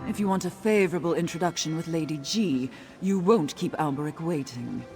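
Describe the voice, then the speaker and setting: An actress playing a snobbish, haughty woman who organizes an elite event in a video game, speaking in a sarcastic, condescending, brisk way. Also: low voice, music in the background.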